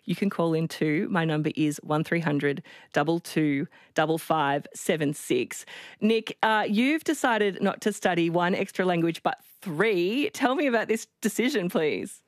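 A woman with an Australian accent hosting a talkback radio show, interviewing guests and callers in a brisk, friendly way. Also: lowish voice, expressive, no echo, studio quality. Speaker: radio host.

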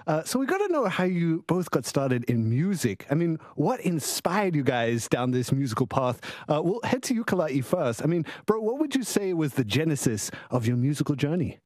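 A man's voice: fairly high pitch, very animated, normal speed, few pauses, darkish tone, no echo, clean studio sound. Upbeat man hosting an interview. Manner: enthusiastic, slangy, says "yo" and "bro" often. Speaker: host.

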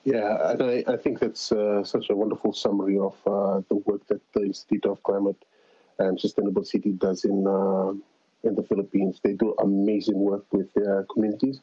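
Relaxed man on a dull line. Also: lowish voice, husky, no room sound. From Fiji. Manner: relaxed, halting with many fillers. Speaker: interview guest, climate organizer. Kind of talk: casual remote interview.

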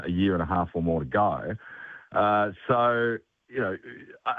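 Deep-voiced man on a tinny phone line. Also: no echo. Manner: hesitant, many fillers and restarts. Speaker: political consultant, radio guest. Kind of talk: radio talk analysis.

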